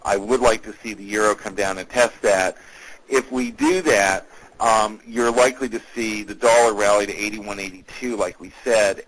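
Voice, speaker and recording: Male analyst, lowish voice, measured and businesslike, talking through a briefing as call host. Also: fairly bright tone, poor audio. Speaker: market analyst hosting a conference call. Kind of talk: market commentary, semi-scripted briefing.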